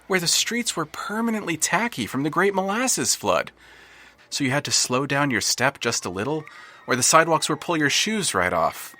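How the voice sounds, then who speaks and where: A man narrating an audio drama in a wry, scripted storytelling manner. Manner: dry, wry storytelling with lively swings in pitch.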